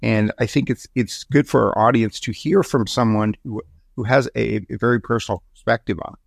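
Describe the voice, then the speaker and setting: Male podcast host, gravelly, halting delivery with restarts, dry close sound. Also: mid-range voice, fairly even intonation, dry recording. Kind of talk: interview podcast.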